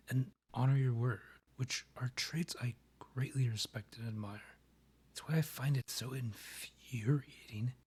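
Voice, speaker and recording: A man acts out a proud vampire king in a fantasy audio roleplay. The voice is breathy and husky at a middling pitch. The phrasing is formal and measured, with a courtly, theatrical polish. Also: darkish tone. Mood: earnest admiration. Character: proud, courtly male vampire monarch, rival and ex. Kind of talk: scripted roleplay audio, ASMR.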